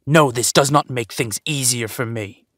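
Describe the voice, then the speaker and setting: An actor playing a ruthless, possessive villain duke of low birth in an audio roleplay, with menacing, deliberate phrasing. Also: male voice, fairly bright tone, no echo, natural voice. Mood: angry, menacing.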